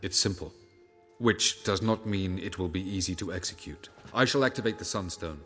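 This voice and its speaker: An actor voicing a haughty, calculating old elf sage in a video game, speaking in a formal, imperious and measured way. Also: male voice, low-pitched, fairly bright tone, faint music behind. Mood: commanding. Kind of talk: dramatic video game dialogue.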